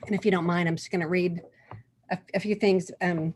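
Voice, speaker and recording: A woman's voice: fairly low pitch, steady tone, fairly quick, a little mellow, a little hiss or hum. A woman from Oklahoma taking part in a book discussion over a video call, thinking aloud with restarts and "um" fillers, and reading a passage aloud. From Oklahoma, USA. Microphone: computer mic on a video call.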